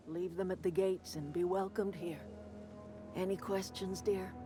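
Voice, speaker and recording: A woman's voice: mid-range voice, lightly acted. An actress playing a proud, motherly elderly woman who leads a camp in a video game, plain-spoken and folksy. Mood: kindly, welcoming. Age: older. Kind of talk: video game character dialogue. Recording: music behind the voice.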